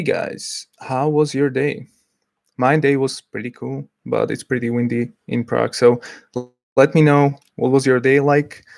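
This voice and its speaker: A man hosting a casual livestream, chatty and a little hesitant as he reads out messages from the chat. His voice sits at an ordinary middle pitch. The sound is dry and close, with no room echo. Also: gravelly, a little mellow. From Slovakia. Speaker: livestream host.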